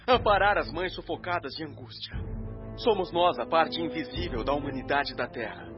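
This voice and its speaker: An actor playing an anxious spirit radio announcer, delivering a solemn, impassioned, oratorical appeal for peace in a radio drama. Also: male voice, a little high, muffled recording, close to an everyday voice. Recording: band-limited radio sound under loud music. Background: loud music.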